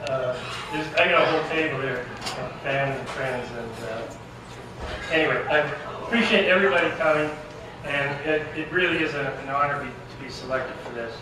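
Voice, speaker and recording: Guy doing a casual thank you speech, stop and start, far from the mic, super echoey hall. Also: mid-range voice. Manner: halting, pauses mid-sentence. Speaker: award recipient giving acceptance speech. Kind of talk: informal acceptance speech. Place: large banquet room over microphone. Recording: echoey banquet room, distant, noisy.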